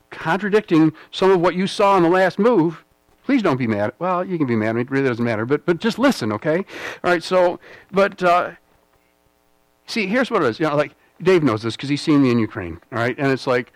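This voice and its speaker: A man's voice: fairly high pitch, expressive, moderate pace, no echo, low-quality recording. A man from the United States preaching a rambling, conversational sermon, with frequent "all right?" check-ins and self-deprecating humor. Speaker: pastor.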